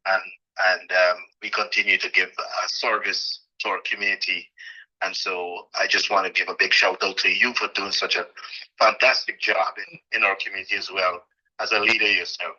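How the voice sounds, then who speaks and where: A man's voice: sits low, little pitch movement, normal speed, pushed and bright, breathy edge. A middle-aged man from Guyana, a guest on an interview show, answering with many fillers and restarts. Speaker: guest, president of a community association. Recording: dull, lo-fi sound.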